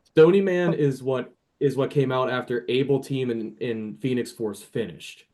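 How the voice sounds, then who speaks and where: Animated man in casual fan chat. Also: medium pitch, normal speed. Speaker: podcast panelist.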